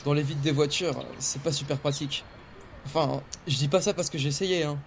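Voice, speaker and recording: A man's voice: fairly high pitch, fairly even intonation, fairly quick, a little hiss or hum, lightly acted. A man voicing a cheerful, teasing teenage friend who chats playfully. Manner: playful, chatty.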